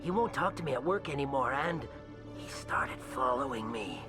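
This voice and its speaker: A man's voice: medium pitch, very animated, moderate pace, music in the background, lightly acted. An actor voicing an anxious, scheming alien man in a video game, agitated and pleading. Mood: agitated, fearful. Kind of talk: video game character acting.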